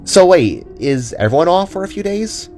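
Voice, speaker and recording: A man's voice: high voice, big pitch swings, moderate pace, a little mellow, faint music behind, voice pushed up. A man voicing a friendly, teasing young woman with a chirpy, helpful manner in an audio drama.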